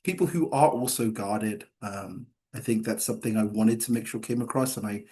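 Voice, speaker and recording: A man speaking as a screenwriter in a Q&A, halting and hedging as he explains, often repeating himself. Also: lowish voice, warm tone. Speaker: screenwriter answering questions. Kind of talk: post-reading Q&A discussion.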